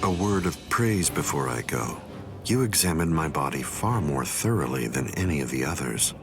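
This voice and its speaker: A man voicing a calm, coldly condescending villainous captain in an anime game, with measured, composed delivery. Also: extremely low pitch. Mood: cold, smug. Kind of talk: English voice acting for anime game cutscene. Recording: dramatic music behind the voice. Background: loud music.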